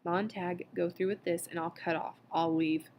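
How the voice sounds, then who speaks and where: A woman voicing an anxious old man who pleads in a low, urgent hush. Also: fairly even intonation, moderate pace, slight background noise, close to an everyday voice. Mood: pleading.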